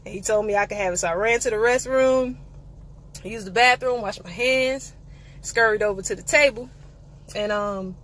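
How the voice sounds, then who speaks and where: A woman's voice: mid-range voice, normal speed, fairly bright tone. A young woman, telling a playful, sassy story in a casual vlog. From eastern North Carolina. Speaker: vlogger.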